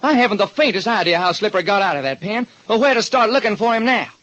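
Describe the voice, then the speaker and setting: An actor playing a police lieutenant who talks loudly and emphatically in a raised voice. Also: male voice, lively intonation, fast. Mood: worried. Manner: loud, emphatic, high-pitched. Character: anxious police lieutenant, boastful then worried. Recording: dull lo-fi old radio broadcast.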